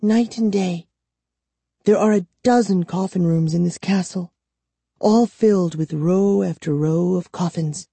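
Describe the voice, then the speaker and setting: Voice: mid-range voice, measured pace, dark tone, no echo, voice pushed up. A narrator voicing a bitter, frightened twelve-year-old girl held prisoner, trembling and urgent, in a storybook reading. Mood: tearful, bitter. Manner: breathy sighing, urgent and trembling.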